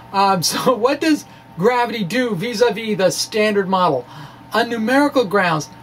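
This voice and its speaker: A middle-aged man giving an explanatory monologue in a halting, deliberate way, stretching some words as he goes. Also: high voice, low-quality recording. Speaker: physics explainer, video blogger. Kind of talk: explanatory monologue, part read, part ad-libbed. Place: indoor room with bookshelves. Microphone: microphone on stand in front of him. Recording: clear background room noise.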